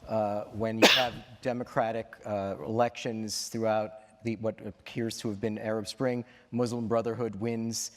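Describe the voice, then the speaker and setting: Guy moderating audience q&a, kinda hesitant, lowish voice, echoey hall. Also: level tone, moderate pace, few pauses, a bit bright. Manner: hesitant, reading questions aloud. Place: large hall. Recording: reverberant hall.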